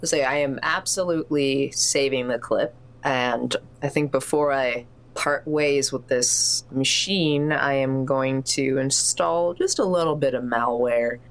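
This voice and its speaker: A woman playing a tabletop roleplaying game, chatting casually and thinking aloud in an animated way. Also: extremely low pitch, unhurried, few pauses. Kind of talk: casual improvised roleplay chat.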